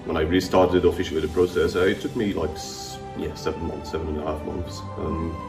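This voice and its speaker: A man's voice: low voice, flat delivery, fast, far from the mic. A young man from Switzerland, raised in Singapore, chatting relaxedly in an interview with fillers and repeats. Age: young adult. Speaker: interviewee, new immigrant. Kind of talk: casual interview answers. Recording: roomy, off-mic, with music.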